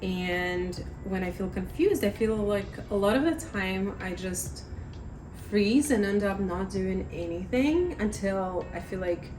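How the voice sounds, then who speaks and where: A woman in her thirties chatting casually in a vlog at her table at home, rambling and self-deprecating, her voice slightly raspy from talking too much. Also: medium pitch, unhurried, darkish tone, soft background music, rough recording. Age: 30s. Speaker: vlogger.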